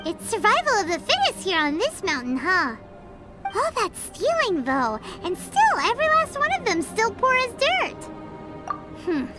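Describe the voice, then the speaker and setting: A tiny, excitable fairy girl from a video game. The voice is extremely high and childlike, leaping all over in pitch, with chatty, cheeky exclamations. Music plays clearly behind it. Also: unhurried, heavily acted. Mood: musing. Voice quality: squeaky, childlike. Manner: exclamatory, cutesy, animated.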